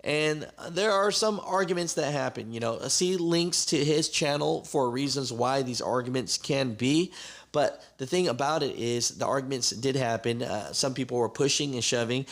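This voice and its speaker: A man's voice: mid-range voice, moderate pace. A man hosting a trucking channel, recapping a story in casual commentary with many fillers.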